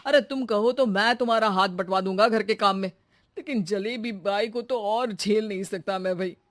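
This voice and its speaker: A woman voicing a fed-up, dramatic householder who complains in exasperation, in a comic animated story. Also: mid-range voice, normal speed, lightly acted.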